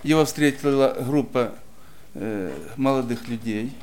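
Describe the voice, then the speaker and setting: A man preaching and telling a personal story in short phrases, pausing after each so it can be interpreted. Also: mid-range voice, darkish tone, slight background noise. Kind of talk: sermon testimony, interpreted line by line.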